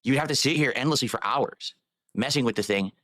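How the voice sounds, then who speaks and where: A man's voice: mid-range voice, fairly quick, sharp tone, dry recording, clean studio sound. A man live-streaming, rambling while he fiddles with his microphone and audio settings.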